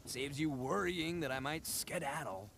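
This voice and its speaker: A man's voice: fairly high pitch, lively intonation, unhurried, vocal fry, a bit bright, heavily acted. A man voicing a brash, boastful but good-hearted young oni gang leader in a video game, swaggering and slangy, stammering when flustered. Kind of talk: animated video game voice acting.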